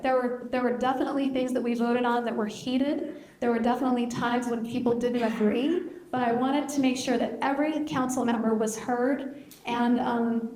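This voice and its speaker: A woman answering at a debate as a county commission candidate and former mayor, speaking earnestly in a measured way. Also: fairly high pitch, darkish tone, roomy sound.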